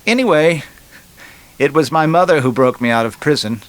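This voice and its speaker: A man's voice: a little high, animated, normal speed, voice pushed up, natural voice. A man playing a glib, wisecracking young man. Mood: confiding, wry. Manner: chatty, wisecracking, punchy emphasis. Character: glib, joking, resentful young man from Los Angeles.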